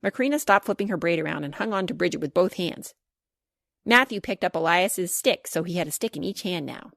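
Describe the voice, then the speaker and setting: A woman reading a children's storybook aloud as scripted narration, expressive and clearly paced. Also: fairly low pitch, brisk, no echo, studio quality. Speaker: author reading her children's book.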